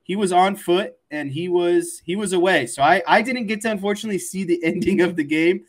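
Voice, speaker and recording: A man's voice: sits high, a little fast. A young man co-hosting a sports show, chatting casually.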